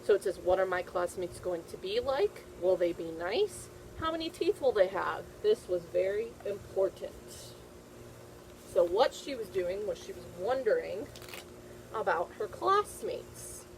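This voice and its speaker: A woman's voice: mid-range voice, sing-song, measured pace, vocal fry, a little hiss or hum, low-quality recording. A woman teacher reading a picture book aloud to young children, repeating questions and answers in an encouraging way. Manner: encouraging, praising the listener.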